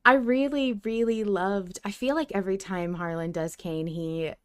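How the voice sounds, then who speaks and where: A woman's voice: medium pitch, steady tone, normal speed, clear, resonant tone, dry recording, studio quality. A woman podcast co-host chatting casually and analytically as a fan, in an effusive, emphatic way.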